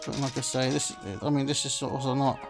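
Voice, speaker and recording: A man's voice: mid-range voice, monotone, brisk, vocal fry. A man casually commenting while playing a slot game, rambling and filling gaps with "sort of" and "like I say", sounding a bit phlegmy. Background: game music.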